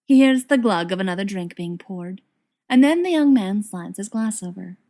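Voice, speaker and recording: A woman's voice: medium pitch, animated, normal speed, mellow. A woman reading a story aloud as scripted narration, in the manner of an audiobook reader.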